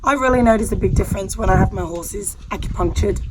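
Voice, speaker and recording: Hoarse Australian woman, voice nearly gone. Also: mid-range voice, quick pace, noisy background. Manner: candid and heartfelt, with many fillers. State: hoarse, losing her voice. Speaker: horse rider and vlogger. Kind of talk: casual vlog talk to camera. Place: outdoors in a horse paddock, sitting on the ground.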